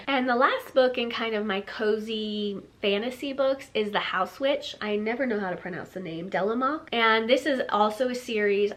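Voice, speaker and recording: A woman's voice: mid-range voice, a bit bright. Chatty woman with a stuffy, nasal voice. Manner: chatty, lots of fillers and light laughs. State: nasal from a lingering cold. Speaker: book vlogger. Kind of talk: casual book haul chat.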